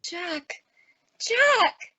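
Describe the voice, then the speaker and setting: A woman's voice: very high voice, very animated, drawn-out words, sharp tone, heavily acted. A woman playing a wavering, lovelorn young wife in an old-time radio drama. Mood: upset.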